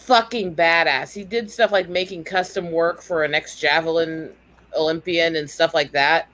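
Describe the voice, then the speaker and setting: Emphatic American woman with a lowish voice. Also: steady tone, moderate pace, fairly bright tone. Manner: emphatic, freely swearing. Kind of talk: casual chat.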